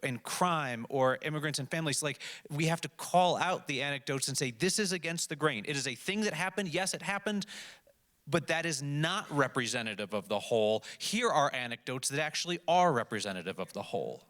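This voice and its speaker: A man's voice: on the high side, lively intonation, a little fast, a bit bright. A man giving impassioned, emphatic remarks in a panel discussion, slipping into imagined dialogue as he argues. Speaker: panel speaker in media.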